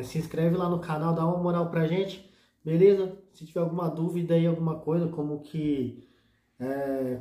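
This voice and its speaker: A man's voice: on the high side, dark tone. A man in his thirties, casually showing his motovlog gear in a small indoor room, laid-back and full of slang fillers. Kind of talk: casual slangy show-and-tell vlog. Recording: echoey room.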